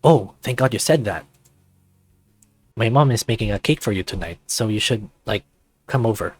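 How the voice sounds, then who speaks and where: Man playing a low, laid-back goofy teenage furry buddy. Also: expressive, moderate pace, husky, dry recording. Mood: sheepish. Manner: casual, hesitant slacker phrasing with trailing remarks.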